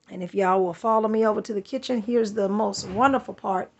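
A woman, chatting thankfully as she shows off groceries in her home kitchen, filmed on a handheld phone. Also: a little high. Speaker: vlogger. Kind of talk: casual home vlog. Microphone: handheld phone camera.

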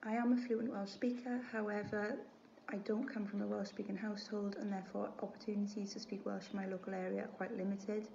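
A woman in her twenties, a student, giving a steady recorded statement on webcam. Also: medium pitch, a little fast, vocal fry, highs cut off, a little hiss or hum. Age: 20s. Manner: steady, read-through delivery.

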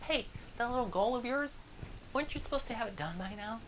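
A smug, negative office coworker, acted out by a man, pitched well up into a sing-song taunt. The delivery is gloating and mocking, a comic caricature of a doubter. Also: animated, a little fast, fairly bright tone, a little hiss or hum, poor audio.

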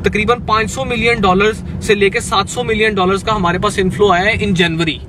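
A young man with a Pakistani accent explaining the stock market rapidly, with many fillers, while riding in a car at night. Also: high-pitched, fairly even intonation. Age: young adult. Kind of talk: casual explanation mixing English and Urdu. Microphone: camera held by the driver. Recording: noisy car from a distance.

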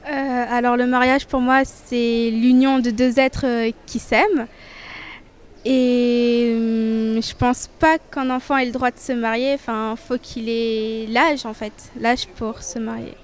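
Teen girl, slow, lots of euh, a bit high. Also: fairly even intonation, smooth. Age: teens. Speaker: school pupil interviewed. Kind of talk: street interview answers.

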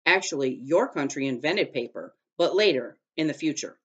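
A curious young boy from a children's chapter book, eager and quick to explain, as a woman reading aloud puts him on. The recording sounds dull and lo-fi. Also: low voice, expressive, slightly rough.

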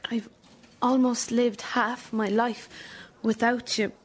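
An actress playing a thirty-year-old Irish daughter in a radio drama, direct and questioning, with a wounded resentment. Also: female voice, a little high, level tone, takes it slowly. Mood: wounded, resentful. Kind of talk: naturalistic radio drama acting.

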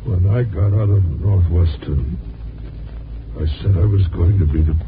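A world-weary newspaperman on his deathbed in a 1950s radio drama. His low voice is weak, halting and reflective. The old recording is very muffled, with clear noise. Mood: wistful. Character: dying, world-weary former newspaperman recounting his past.

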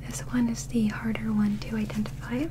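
A young woman performs an ASMR medical roleplay in a soft, breathy whisper. Her voice sits fairly high and stays very even as she gives measured, clinical instructions. Clearly audible ambient noise runs behind her. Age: young adult. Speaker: ASMR performer playing an alien medical examiner. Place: small indoor set.

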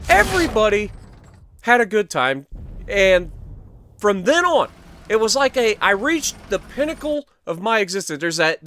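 High-pitched, animated American man telling stories. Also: a little hiss or hum. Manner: animated, emphatic storyteller in short punchy phrases. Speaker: gaming video host. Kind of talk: casual storytelling over tank game play.

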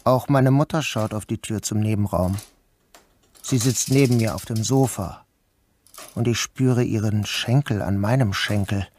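An actor playing a troubled, dreamy grown son who narrates his surreal day in a measured, introspective way. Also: on the low side, a little mellow, slight background noise, close to an everyday voice. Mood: brooding, introspective. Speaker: narrator and protagonist. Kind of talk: first-person literary radio play narration. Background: music.

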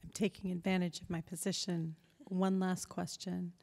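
A woman's voice: lowish voice, little pitch movement, normal speed, darkish tone. A middle-aged woman moderating a public event and leading it, speaking into a handheld microphone on an auditorium stage with long reverb.

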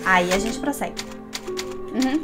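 Casting: young adult woman, Brazilian accent, casual playful let's-player, medium pitch, loud game soundtrack underneath. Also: animated, unhurried. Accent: Brazilian Portuguese. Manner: playful, slangy, talks to the game characters. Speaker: gaming streamer. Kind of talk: casual let's-play commentary. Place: indoor room at home, webcam stream. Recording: loud game music behind voice. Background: loud game music.

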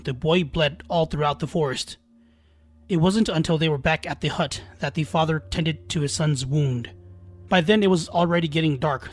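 A man tells a scary folktale in a slightly raised register. His delivery is scripted and dramatic, building suspense as a storyteller does, over background music. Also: on the high side. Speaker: storyteller, narrator.

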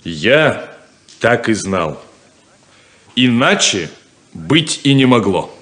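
An actor playing the stern, practical elder son of a ranching family in a 1970s radio drama. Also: male voice, medium pitch, sing-song, very slow, a bit bright, full character voice. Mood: dismissive.

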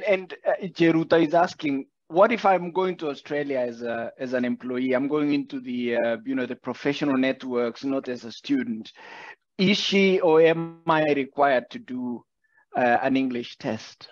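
A middle-aged Kenyan man hosting an interview over a video call, chatty and animated. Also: medium pitch, moderate pace. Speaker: host. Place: indoor room. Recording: dull, dry video call audio.

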